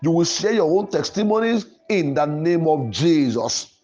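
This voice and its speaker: A man's voice: on the high side, very animated, moderate pace, darkish tone. A man, a pastor, preaching and praying in an emphatic, declamatory way with repeated phrasing. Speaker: pastor, programme host. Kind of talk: Pentecostal sermon and prayer. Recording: music playing behind the voice. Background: music.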